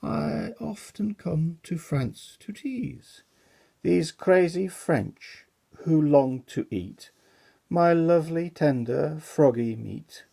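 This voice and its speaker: A storyteller voicing a calm, boastful magic toad in a storybook, speaking coolly and without hurry. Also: medium pitch, vocal fry, slightly rough, heavily acted. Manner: cool and unhurried.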